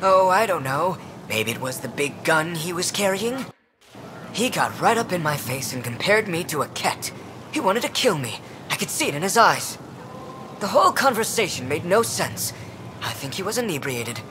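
Irritable woman scientist, game dialogue, curt, sarcastic, very varied pitch, clear background ambience. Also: very animated, normal speed, a bit bright, slight background noise. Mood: indignant. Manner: curt, self-important, sarcastic. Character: haughty, irritable woman scientist in space colony. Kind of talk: video game dialogue acting.

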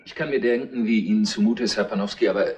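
An actor playing a gruff, methodical police inspector in a retro crime radio play, asking questions in a measured, probing way. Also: male voice, fairly low pitch, darkish tone, lightly acted. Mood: sober, polite. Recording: noisy old radio play recording with clicks.

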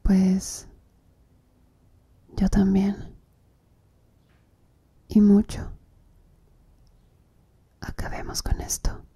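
A seductive female tattoo artist acted by a woman in an ASMR roleplay, teasing the listener in a breathy murmur. Her voice is very dark and mellow, husky, and unhurried. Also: medium pitch, completely flat delivery, exaggerated voice. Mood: seductive, intimate. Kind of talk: Spanish-language ASMR roleplay.

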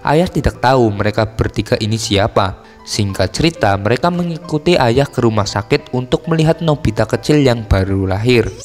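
A man's voice: mid-range voice, animated, normal speed, darkish tone. Man narrating in long reverb. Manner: informal storytelling with casual slang asides. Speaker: film recap narrator. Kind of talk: scripted narration retelling a film plot. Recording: voice-over with long reverb over music. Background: music.

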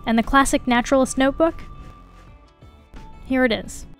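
A woman narrates a science feature in a wry, conversational voice-over. Her voice sits fairly high for a woman. Faint music plays beneath her. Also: normal speed. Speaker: science video reporter. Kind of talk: scripted narration.

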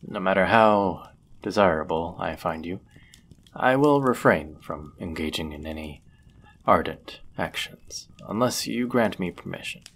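A man acting a deep-voiced, courteous medieval innkeeper. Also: animated, a little hiss or hum, full character voice. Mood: gentle, courteous. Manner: gentle, polite, measured, formal wording. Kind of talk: intimate roleplay audio acting, formal old-fashioned speech.

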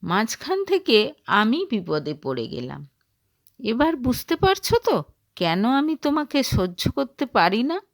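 Woman voicing an angry, scornful young husband, raised pitch. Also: big pitch swings, a little slow, no echo, clean studio sound. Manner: harsh, accusing, contemptuous. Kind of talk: dramatised audio story dialogue.

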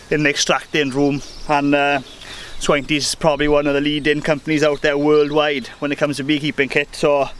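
A young male beekeeper talks casually and upbeat in a slightly higher voice, thinking aloud as he goes. He is recorded outdoors in a rural field by a camera a few metres off. Birdsong and a faint outdoor hiss sit behind him. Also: a bit bright. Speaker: beekeeper reviewing gear. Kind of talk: casual product review vlog. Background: birdsong and faint outdoor ambience.

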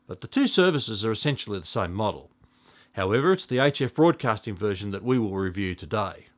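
A man in his fifties presenting an explanatory tutorial in a measured, instructional way, with clear enunciation. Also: medium pitch, a bit bright. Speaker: presenter of a shortwave radio review. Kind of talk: explanatory tutorial to camera and over screen demo. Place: small indoor home radio room.

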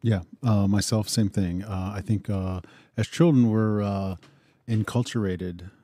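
A man in his forties from Western Pennsylvania, co-hosting a radio talk show in an easygoing, halting way, with frequent uhs. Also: lowish voice, fairly even intonation, dark tone, no echo. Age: 40s. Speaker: co-host.

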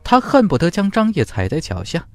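A man's voice: on the high side, wildly swooping pitch, fairly quick, no room sound, faint music behind. A man narrating a fantasy audiobook from a script in a dramatic, emphatic storytelling style.

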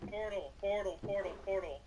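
An excitable, teasing player bantering casually with friends during a video game. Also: mid-range voice, moderate pace, background noise. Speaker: player in a group gaming video.

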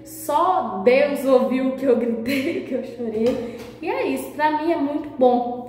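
A woman in her thirties, hoarse and nasal from a sore throat, talking softly to a phone camera for her vlog in a small bathroom. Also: a little hiss or hum. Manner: speaking softly, low voice. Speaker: vlogger. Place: small tiled bathroom indoors. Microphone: phone camera at arm's length. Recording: muffled echoey bathroom.

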